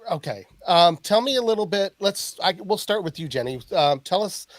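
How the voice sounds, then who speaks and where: A male podcast host interviewing guests in a relaxed way. He stops and restarts his questions and slips in jokes, recorded dry and close with no room sound. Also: high voice, dry recording. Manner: halting, restarts questions, joking. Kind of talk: casual interview chat.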